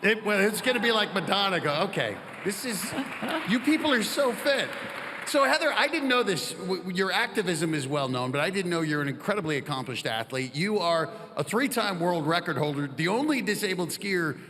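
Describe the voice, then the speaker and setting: Guy hosting a panel on a big stage, high bright voice, jokey and upbeat, long hall echo. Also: lively intonation, normal speed. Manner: enthusiastic, joking. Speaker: panel moderator. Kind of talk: lively stage moderating.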